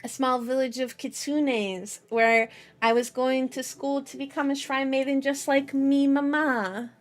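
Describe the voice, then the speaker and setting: Young woman, high voice, playful and silly, cutesy streamer chat, giggly, music behind. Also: little pitch movement, unhurried. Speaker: VTuber streamer, channel host. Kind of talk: casual livestream chat, slideshow introduction.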